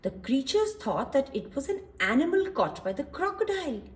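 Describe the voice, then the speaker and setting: A woman's voice: high voice, big pitch swings, moderate pace. A woman reading a story aloud to young children, expressively, explaining and asking questions with emphasis and repetition.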